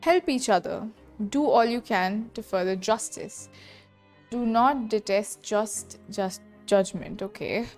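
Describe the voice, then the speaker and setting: A woman's voice: mid-range voice, slow pace, a little mellow. A woman gaming streamer giving casual playthrough commentary and reading game text aloud. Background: game music.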